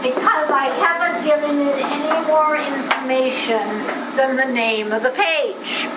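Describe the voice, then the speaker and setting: A woman's voice: high voice, a little slow. A woman with a Boston accent teaching a class, patiently explaining each step to her students. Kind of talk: classroom lecture. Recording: echoey classroom with steady background noise.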